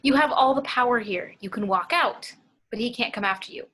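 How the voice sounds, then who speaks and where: A woman playing a gentle, persuasive, kind woman with a husky voice in an amateur table read. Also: on the high side, brisk. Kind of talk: amateur at-home table read of a film script.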